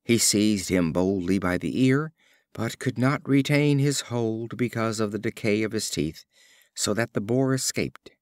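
A man narrating fables as an audiobook reader, in a deliberate, theatrical storytelling style. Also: lowish voice, no echo, studio quality.

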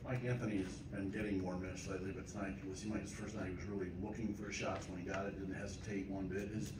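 A man's voice: low-pitched, flat delivery, fast. A man putting a question to a coach as a sports reporter. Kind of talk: press conference question. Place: indoor press conference room. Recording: distant, echoey room with noise.

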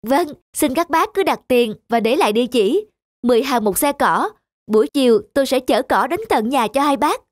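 Woman acting polite, high-voiced young villager. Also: expressive, a little fast, no echo, studio quality. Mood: polite, earnest. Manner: earnest, courteous. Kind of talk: cartoon dubbing. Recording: music under the dialogue.

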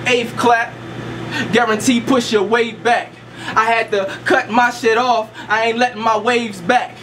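Young indiana guy, pretty high voice, laid back battle rapping and chatting with the crowd, noisy lounge. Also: little pitch movement, a little slow. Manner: laid-back, laughs between bars, talks to the crowd. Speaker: battle rapper. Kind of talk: rap battle verses. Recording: noisy room recording.

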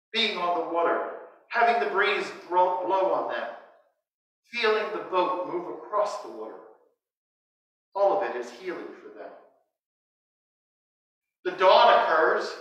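An American man in his sixties preaching a sermon as a priest, in measured short phrases with pauses, speaking through a face mask inside a church. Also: sits high, sharp tone. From United States. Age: 60s. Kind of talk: sermon read from notes. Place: inside a church, standing before the altar. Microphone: distant microphone, speaking through a face mask. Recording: very echoey church, distant mic.

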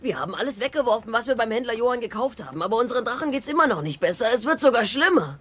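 A man's voice: very high-pitched, big pitch swings, very fast, a bit bright, exaggerated voice. An actor playing a bookish, doting, easily panicked Viking boy in a cartoon audio drama. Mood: panicky.

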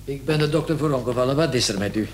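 A man's voice: mid-range voice, very fast, a little hiss or hum, close to an everyday voice. An actor playing a brusque, detached male casualty doctor with clipped, businesslike questions in a Dutch radio play.

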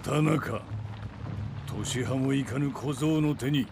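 An actor playing a menacing, arrogant bandit warlord in a samurai game, taunting and contemptuous. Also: male voice, medium pitch, takes it slowly, gravelly, noisy background. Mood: menacing, mocking. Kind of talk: samurai game drama acting.

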